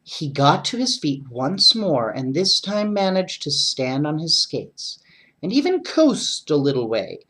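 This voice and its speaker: A bedtime story reader narrating in an animated storybook style, with chatty asides to children. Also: mid-range voice, a little slow.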